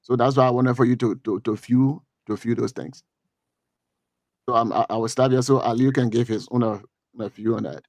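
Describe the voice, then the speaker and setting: A middle-aged Liberian man with a Liberian accent giving political commentary on a talk show, halting and repeating words with many fillers. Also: mid-range voice, steady tone, vocal fry, a little mellow, no echo.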